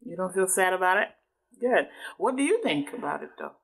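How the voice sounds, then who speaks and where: A woman's voice: fairly low pitch, sing-song, a little fast, creaky, fairly bright tone, husky, rough recording. A woman doing a teaching read-aloud, patiently explaining and often checking understanding with questions. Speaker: adult reading a book with a child.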